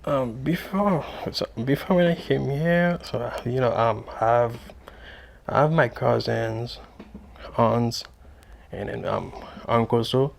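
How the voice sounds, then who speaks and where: Gravelly man, full of fillers. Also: mid-range voice, takes it slowly, slight background noise. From Haiti. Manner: hesitant, heavy with fillers. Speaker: interview guest, adult English student.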